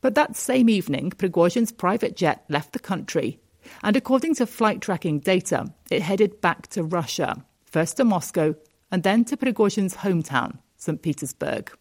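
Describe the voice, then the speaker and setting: A woman correspondent narrating a scripted news report in a steady, deliberate way. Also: on the low side. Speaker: foreign correspondent.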